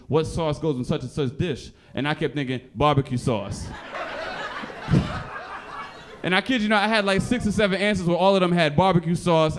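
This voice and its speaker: Animated young male stand-up comic. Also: on the high side, slight background noise. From Haitian background. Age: young adult. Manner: animated, acts out characters in exaggerated voices. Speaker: stand-up comedian. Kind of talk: stand-up comedy set. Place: comedy club stage.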